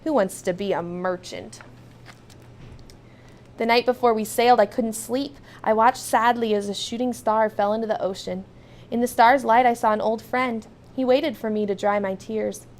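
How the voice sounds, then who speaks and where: Woman, higher-pitched voice, children's storybook narration, first person, expressive, emphatic reading. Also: moderate pace. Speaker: storybook reader.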